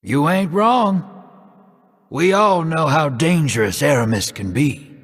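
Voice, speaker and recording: A folksy, roguish drifter for a video game. He takes his time, sliding up and down in pitch with a husky, wry drawl. Long reverb trails the words. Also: lively intonation, slow, full character voice. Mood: matter-of-fact. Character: laid-back roguish male drifter, wry and streetwise. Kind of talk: scripted game voice acting.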